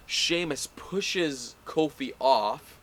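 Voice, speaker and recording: A man's voice: on the high side, animated, drawn-out words, fairly bright tone. A man co-hosting a casual chat, recapping events in a rambling way with plenty of fillers. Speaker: podcast co-host.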